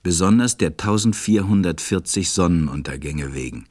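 A man's voice: low voice, lively intonation, normal speed, a little mellow. A man narrating a storybook audio drama from a script.

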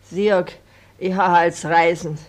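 A woman's voice: lowish voice, steady tone, slow. An actress playing a sick, careworn old mother in a radio drama, lamenting in Silesian German dialect. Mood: plaintive despair. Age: older. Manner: lamenting, plaintive.

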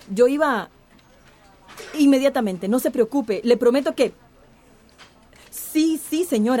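A woman's voice: fairly high pitch, dark tone. An actress playing a shrewd, cynical, bossy woman mayor in a radio soap opera. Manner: commanding, scheming.

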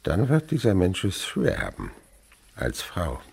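A man's voice: deep voice, wildly swooping pitch, measured pace, vocal fry, breathy edge, heavily acted. An actor playing a whimsical, sceptical insect researcher and father in a German radio play, with a pedantic, playful way of lecturing. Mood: reflective, tender.

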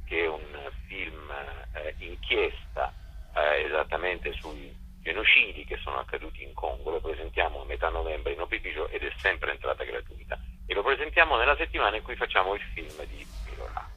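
A man giving an unscripted radio interview, presenting a programme in long enumerating explanations with hesitant pauses. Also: a bass voice, expressive, sharp tone, slightly rough. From Italy. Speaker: festival director being interviewed. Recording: muffled, band-limited phone line.

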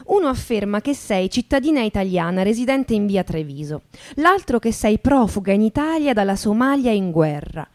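A woman giving a deliberate, theatrical reading of literary narration. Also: mid-range voice, big pitch swings. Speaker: reader and stage performer. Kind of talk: dramatic literary reading, second-person narration. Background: plucked acoustic guitar music.

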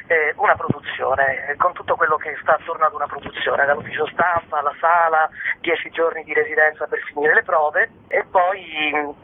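A man giving explanatory interview answers as a festival director over a phone line, often pausing to find words. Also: on the high side. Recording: tinny, noisy phone line.

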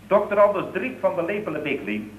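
A man's voice: sits high, big pitch swings, fairly quick. A man acting an earnest, pedantic employee who insists to his boss in an old radio comedy. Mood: earnest explaining. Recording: hissy, thin, phone-like, echoey old radio recording.

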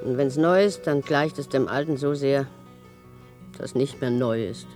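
An actress playing a stolid, contented underground worker's wife in a dystopian 1970s German radio play, dismissive and theatrical. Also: female voice, rumbling low, measured pace, darkish tone, soft background music. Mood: grumbling.

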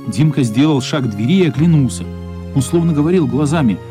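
A man's voice: on the high side, expressive, fast, music in the background. A man narrating a radio play as dramatic scripted storytelling, expressively voicing the characters' thoughts.

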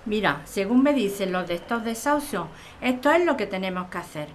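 A woman's voice: mid-range voice, expressive, a little fast, a little hiss or hum, natural voice. A woman playing a practical supporter in a radio drama, reassuring and explaining things in a soothing manner.

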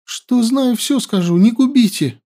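Audiobook: male reader doing a sly young woman spy, high and whiny, pitch jumps all over. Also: animated, moderate pace, warm tone. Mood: wailing. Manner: whiny pleading. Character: young woman herbalist spy, cunning, wailing.

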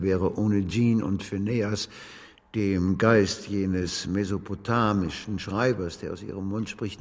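Deep, grave older gentleman. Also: long reverb tail, slight background noise. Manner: grave, formal, hesitant. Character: ailing, earnest older gentleman, spiritualist writer and ex-doctor. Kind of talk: German radio drama acting.

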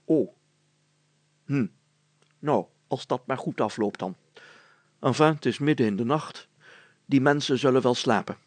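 A man's voice: medium pitch, a little slow, long pauses, no echo, close to an everyday voice. A man voicing a fussy, self-important older village mayor in a storybook, insistent and exclamatory. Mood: worried.

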